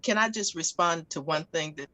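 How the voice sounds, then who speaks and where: Deliberate low-voiced woman debater. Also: pushed and bright, no room sound. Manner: deliberate, emphatic, repeats phrases. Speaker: panelist. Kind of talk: panel debate.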